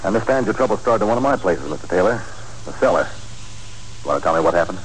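An actor playing an obliging but probing nightclub owner in a 1940s radio drama, with a brisk, affable, inquisitive manner. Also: male voice, sits low, lively intonation. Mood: businesslike. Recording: noisy 1940s radio recording.

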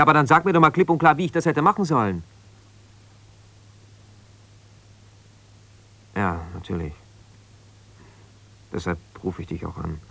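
Low-voiced, defensive husband. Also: male voice, a bit bright, no echo. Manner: argumentative, insistent, hesitating when conceding. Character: ambitious lawyer, abandoned husband, self-justifying, stubborn, defensive. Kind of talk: naturalistic radio drama monologue on the telephone.

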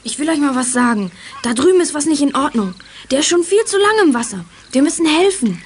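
Brave bossy kid girl in a radio play, high urgent voice, noisy old tape. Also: very animated, brisk. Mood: urgent, insistent. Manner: assertive, urgent. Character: brave bossy girl diver, young detective. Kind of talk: 1980s German children's radio play.

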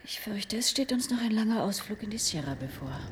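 A woman's voice: mid-range voice, animated, fairly quick, very noisy, close to an everyday voice. An actress playing an adventurous, alert female assistant in a radio play. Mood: wary, curious. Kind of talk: German radio play acting.

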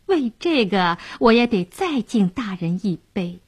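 A coy young fishwife , acted by a woman storyteller, sweet and deferential. She draws her phrases out slowly with a very lively, swooping pitch, as in a storybook tale. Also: slightly rough. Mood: flattering. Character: coy, flattering young fishwife, secretly cunning.

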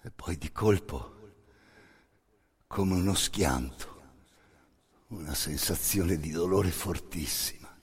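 A man performing an intense theatrical monologue, narrating in emphatic short phrases with a hoarse, gravelly voice. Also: low-pitched, takes it slowly, long pauses. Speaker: stage actor narrating a monologue. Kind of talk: dramatic second-person theatrical narration.

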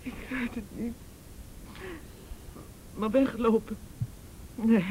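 A woman acting a distraught, defensive mother, halting and pleading, in a Dutch radio play. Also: fairly high pitch, steady tone, dark tone, slight background noise, poor audio. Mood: anxious, defensive.

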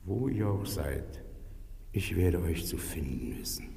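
A menacing, jealous husband in a radio drama. His voice is low, creaky and whispery, hanging in long reverb. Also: animated, a little slow, mellow, heavily acted. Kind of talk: radio play acting.

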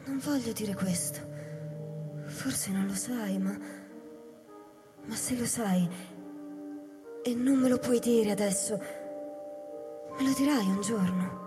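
A woman playing an anguished, bewildered alien replica of a human in a radio drama, halting and intense. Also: medium pitch, lightly acted. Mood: dazed, hesitant. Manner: halting, fragmented, intense delivery with hushed passages. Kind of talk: theatrical radio drama acting with live music. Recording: long hall reverb under music. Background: music.

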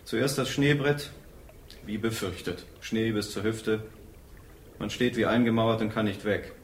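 Actor as a dead soldier, low, echoing. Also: male voice, steady tone, natural voice. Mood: grim, matter-of-fact. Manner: terse, fragmentary, halting storytelling. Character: dead soldier bitterly recounting his fatal avalanche. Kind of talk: radio drama monologue. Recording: roomy echo with faint noise.

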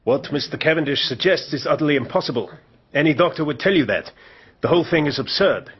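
An actor playing a brusque, curt doctor who is certain of himself, giving evidence in a radio drama. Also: male voice, on the high side, animated, quick pace, breathy edge. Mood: scornful. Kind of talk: British radio drama acting. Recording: dull, band-limited radio drama recording.

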